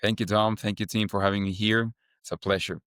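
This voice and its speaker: A man's voice: fairly low pitch, flat delivery, brisk, fairly bright tone, no room sound, clean studio sound. Chilean man speaking casual English. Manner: loose, chatty, strings ideas with "like" and "kind of". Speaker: startup founder guest. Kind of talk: casual interview answers.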